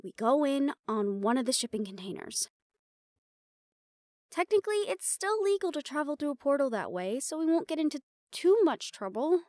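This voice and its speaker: A woman's voice: high voice, sing-song, normal speed, a little mellow, dry recording, clean studio sound. An actress playing a determined, rule-bending woman hunter in a fantasy audio roleplay, speaking insistently and persuasively. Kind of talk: scripted audio roleplay drama. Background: background music.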